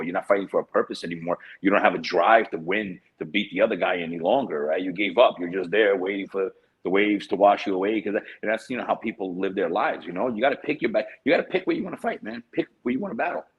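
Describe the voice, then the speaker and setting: A fast-talking man with a husky, low voice, chatting casually as a guest on a video call. He rambles and keeps checking in with "right?" as he talks. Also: highs cut off. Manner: rambling, asks "right?" often. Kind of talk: casual chat.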